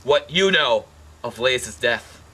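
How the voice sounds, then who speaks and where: Bright-voiced man acting a suspicious tragic king. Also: medium pitch, lively intonation, takes it slowly, breathy edge, full character voice. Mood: anxious. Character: suspicious, anguished king of Greek tragedy. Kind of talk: amateur scripted puppet-show acting.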